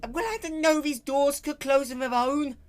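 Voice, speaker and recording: A man's voice: very high-pitched, moderate pace, voice pushed up. A man voicing a brash, cocky young female pegasus pony in a dramatic story reading, boastful and slangy. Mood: annoyed, panicked. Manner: boastful, casual slangy talk.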